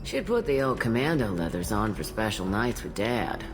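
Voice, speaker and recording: An actress playing a blunt, world-weary old alien matriarch bartender in a video game, with dry, sardonic delivery. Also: female voice, extremely low pitch, very animated, moderate pace. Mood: wry, blunt. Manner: dry, sardonic, matter-of-fact. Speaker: bartender character. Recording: loud music behind the voice.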